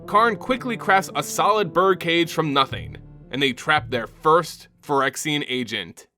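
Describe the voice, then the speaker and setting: A man's voice: fairly high pitch, expressive, a little slow, music in the background. A man hosting his own channel, narrating a fantasy lore story dramatically and speaking casually to his viewers. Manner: addresses viewers casually as "guys". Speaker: YouTube channel host.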